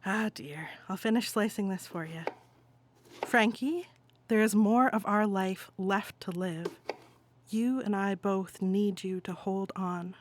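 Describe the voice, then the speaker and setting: A playwright voicing a gentle, resolute figure from the afterlife in a solemn, deliberate audio play monologue. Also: mid-range voice, slight background noise, close to an everyday voice. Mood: tender, worried. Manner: solemn, deliberate, incantatory address. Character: Francis, gentle resolute spirit-visitor from the afterlife, pleading.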